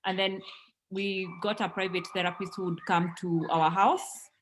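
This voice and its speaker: A woman's voice: medium pitch, steady tone, slight background noise, rough recording. Woman from Kenya telling her story. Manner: earnest, measured, with frequent ums. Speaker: interview guest, parent. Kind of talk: conversational storytelling.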